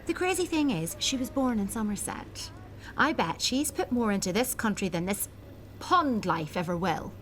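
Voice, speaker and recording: A woman's voice: mid-range voice, big pitch swings, normal speed, a little hiss or hum, lightly acted, low-quality recording. An actress playing a protective, worried woman in a radio soap, earnest and easily indignant.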